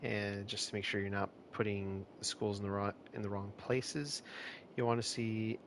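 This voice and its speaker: A man's voice: fairly low pitch, moderate pace, a little hiss or hum. A man streaming a city-building game, chatting casually with lots of fillers and restarts, his voice a bit nasal and stuffed-up. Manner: relaxed, rambling, many fillers and restarts. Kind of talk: casual live-stream commentary.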